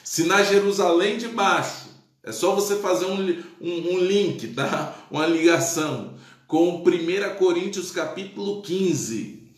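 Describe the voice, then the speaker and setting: Slow, echoey man preaching a Bible lesson. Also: high-pitched. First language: Spanish influence. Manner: preachy, exclaims praise, checks in with "tá?". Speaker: pastor. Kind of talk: live-streamed Bible teaching.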